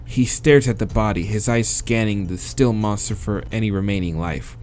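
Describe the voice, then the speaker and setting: An American man reading a visual novel aloud as a let's-play host, dramatic in the narration and breaking into slangy, expressive asides. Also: lowish voice, normal speed, slight background noise. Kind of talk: dramatic read-aloud with casual reactions.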